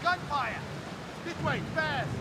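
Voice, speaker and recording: A man's voice: extremely high pitch, expressive, takes it slowly, background noise, heavily acted. An actor playing a frantic male police officer calling out alarms in a video game cutscene. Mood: frantic, alarmed. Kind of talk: dramatic game cutscene acting.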